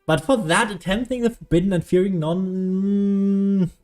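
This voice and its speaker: A man's voice: high voice, fairly even intonation, unhurried, darkish tone. A young man giving casual gameplay commentary as a streamer, musing and arguing aloud and swearing casually. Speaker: game streamer. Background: game music.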